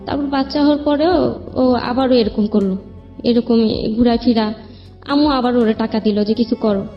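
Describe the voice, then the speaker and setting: A woman's voice: fairly high pitch, moderate pace. A young woman with a Bangladeshi accent tells her life story in an interview in a colloquial, rambling way. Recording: muffled lo-fi audio, music behind. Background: background music.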